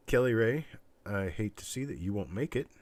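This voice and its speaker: A man hosting a casual live online auction stream, chatting in a rambling, halting, folksy way with a scratchy, stuffed-up voice weakened by illness. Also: fairly low pitch, animated. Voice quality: congested, stuffed-up nose. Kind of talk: casual livestream chat with viewers.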